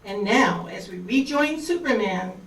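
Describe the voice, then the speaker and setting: A woman's voice: mid-range voice, expressive, measured pace. A woman narrating a scripted radio serial as its announcer. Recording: distant echoey room, faint noise.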